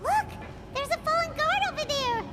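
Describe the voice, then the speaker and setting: A woman voicing a tiny, excitable childlike companion in a video game, with a squeaky voice and an easily indignant temper. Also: moderate pace, heavy background noise. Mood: urgent, indignant. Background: loud game music.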